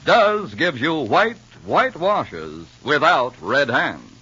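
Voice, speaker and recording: Male American commercial announcer, bright and forceful, raspy edge, dull vintage radio sound. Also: on the high side, big pitch swings, slow pace. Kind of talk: 1950s radio advertising read.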